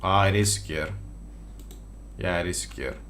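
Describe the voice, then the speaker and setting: A man's voice: low-pitched, moderate pace, fairly bright tone, a little hiss or hum. A man giving casual gameplay commentary as a video host, thinking aloud and muttering to himself. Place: indoors at a computer.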